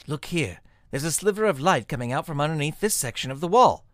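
An actor playing an earnest, plucky young amateur detective in a comic radio mystery, speaking emphatically. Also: male voice, fairly high pitch, expressive, fairly quick, fairly bright tone, no echo, clean studio sound. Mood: hushed investigating.

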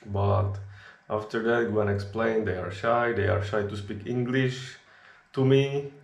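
Young czech dude vlogging, lowish voice, chuckles a lot, echoey room. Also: male voice, measured pace, vocal fry. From Czech Republic. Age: young adult. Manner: relaxed storytelling in non-native English, chuckles often. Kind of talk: casual chat. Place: small indoor kitchen. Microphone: camera at arm's length.

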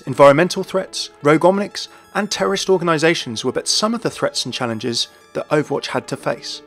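A man's voice: on the high side, fairly bright tone. A man reading scripted narration as the narrator of a video game lore explainer.

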